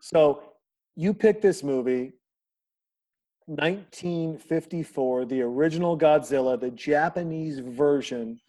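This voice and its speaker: A man's voice: a little high, takes it slowly, warm tone, poor audio. A man hosting a podcast, chatting casually and praising his guest in a friendly, effusive way, often repeating words as he starts. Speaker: podcast host.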